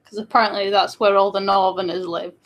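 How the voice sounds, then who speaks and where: A woman's voice: mid-range voice, little pitch movement, brisk. A woman from northern England chatting casually as co-host, teasing and laughing often. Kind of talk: casual chat.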